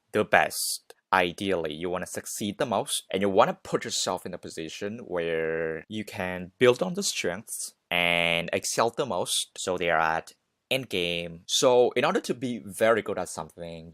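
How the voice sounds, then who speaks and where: A man's voice: low voice, a bit bright, no room sound, clean studio sound. A man hosting a podcast, giving informal, conversational advice straight to listeners with emphatic repetition. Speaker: podcast host. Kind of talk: casual advice monologue to listeners.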